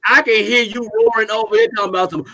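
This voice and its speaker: A man's voice: high-pitched, fast talker, bright, forceful tone, slight background noise. A man hosting a casual live video chat, sassy and teasing, calling everyone "girl".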